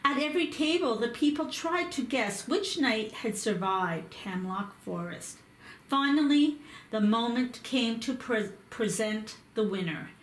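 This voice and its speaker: A woman reading a picture book aloud, slow and careful in her storytelling. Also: a little high, lively intonation. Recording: echoey room.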